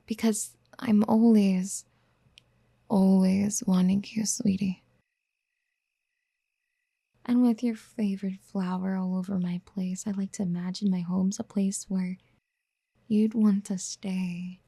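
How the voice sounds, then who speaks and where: Woman voicing a possessive doting yandere, ASMR roleplay, soft whispering, breathy, creaky edges, dry close-mic sound, tender and coaxing. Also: medium pitch, fairly even intonation, slow pace, pauses often, no room sound, exaggerated voice. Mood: adoring.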